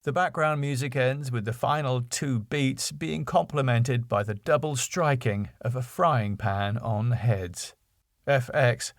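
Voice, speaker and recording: Guy reading sitcom stage directions, mid pitched voice, really dry recording. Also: dry recording. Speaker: writer and narrator.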